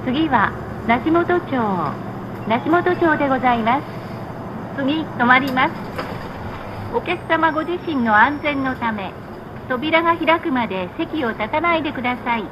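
A woman reading scripted stop announcements on a bus in a measured, formal, polite manner. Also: high-pitched, animated. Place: played over speakers inside a moving bus. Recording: muffled, noisy recording inside a bus. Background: bus engine and road noise.

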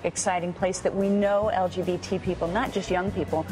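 A woman's voice: on the low side, fairly even intonation, fairly quick, over music, rough recording. A middle-aged woman from the United States answering questions in a TV news interview, earnest and a bit hesitant, with fillers. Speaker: guest, activist. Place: TV news studio.

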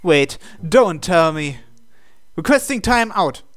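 A let's play host reading a visual novel's hero lines and narration aloud in English, in a plain, unhurried way. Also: medium pitch, expressive, dry recording.

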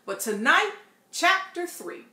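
A woman's voice: very high voice, slow pace. A middle-aged woman hosting a casual church book talk, playful and emphatic, repeating her points. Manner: lively, playful, emphatic repetition. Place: living room, indoors. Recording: roomy echo.

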